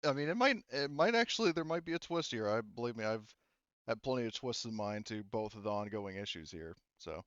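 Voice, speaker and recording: A game master with a low, resonant voice talks his players through the game. He rambles and corrects himself as he goes. The man is closely recorded in a dry space. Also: expressive, fairly bright tone, clean tone, no echo, lo-fi sound. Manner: rambling, hedging, halting self-corrections. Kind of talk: casual game narration, explaining to players.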